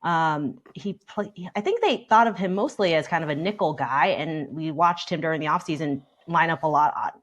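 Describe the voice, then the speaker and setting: Very low-pitched woman talking sports. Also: normal speed, few pauses, lo-fi sound. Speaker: sports reporter. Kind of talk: casual sports panel talk.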